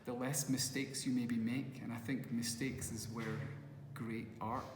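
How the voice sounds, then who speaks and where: A man's voice: medium pitch, flat delivery, measured pace, background noise. A Scottish man with a Glasgow accent, a musician turned first-time filmmaker, giving rambling interview answers full of restarts. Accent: Glaswegian. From Scotland. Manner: rambling, with many restarts and acted quotes. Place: festival venue. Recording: echoey hall, faint ambience.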